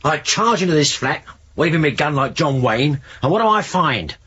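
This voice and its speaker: A blunt, disgruntled British detective in a 1970s radio drama. His voice is husky and animated in pitch, and the recording is somewhat muffled. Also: normal speed, full character voice. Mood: disgusted. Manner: blunt, grumbling.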